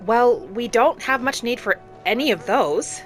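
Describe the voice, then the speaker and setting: A woman's voice: a little high, expressive, measured pace. Woman narrating a cozy roleplay over music. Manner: polite, gently formal phrasing in character. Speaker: player narrating in character as a roleplay traveler. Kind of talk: cozy in-character roleplay narration of a video game. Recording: background music behind the voice.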